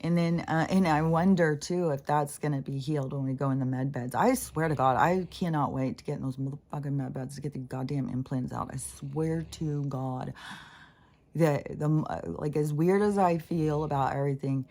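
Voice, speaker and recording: A woman's voice: low-pitched, moderate pace, dark tone. A woman with a scratchy voice, hosting her own spiritual channel in a loose, rambling monologue that drifts off mid-thought and swears freely.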